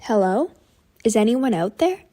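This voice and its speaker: A woman voicing a gentle, gracious old lady steam engine, polite and composed, in a cartoon comic dub. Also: on the high side, sing-song, a little slow. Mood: curious, amused. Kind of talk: animated comic dub acting. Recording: music behind the voice.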